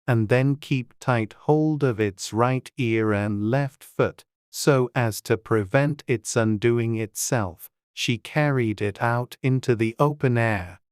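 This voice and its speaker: A woman narrating a storybook audiobook from a script in a measured, unhurried way. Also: rumbling low, a little mellow, clean tone, no echo, clean studio sound.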